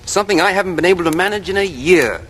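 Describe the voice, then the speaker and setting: A fussy upper-class Englishman in a 1940s radio play. His bright, fairly high voice blusters and hesitates, over faint noise from the old recording. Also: expressive, quick pace. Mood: uneasy. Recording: noisy vintage radio recording.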